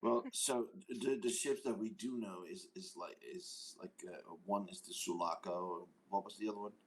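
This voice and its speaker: A man playing a tabletop game, talking casually and somewhat haltingly with the group. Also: on the low side, fairly even intonation, low-quality recording. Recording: faint background noise.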